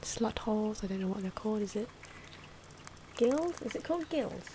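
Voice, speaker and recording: A soft-spoken woman giving casual ASMR commentary on drawing, often slipping into whispers, in an unhurried, rambling and playful way. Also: medium pitch, expressive. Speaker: host of an ASMR drawing channel. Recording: clear background noise from drawing sounds. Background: pen and marker scratching.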